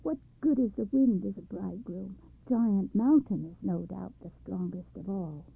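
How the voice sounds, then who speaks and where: A woman reads a young daughter who briskly dismisses each suitor. Her voice is husky with a touch of gravel. The sound is thin and phone-like. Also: mid-range voice, expressive, no echo, exaggerated voice. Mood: decisive. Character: young daughter, choosy and decisive. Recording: tinny phone-like recording.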